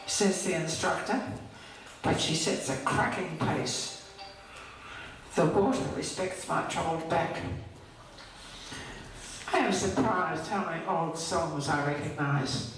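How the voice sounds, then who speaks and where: A woman's voice: lowish voice, recorded from a distance. An older woman reading her own poem as a monologue, recorded and played back in a hall. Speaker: poet. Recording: played over echoey hall speakers, faint noise. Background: faint hiss of hospital oxygen.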